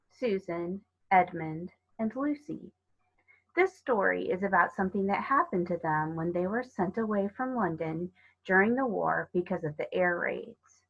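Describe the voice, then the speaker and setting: A woman narrating a children's story aloud in a measured, expressive read-aloud way. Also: medium pitch, muffled recording. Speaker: storybook reader.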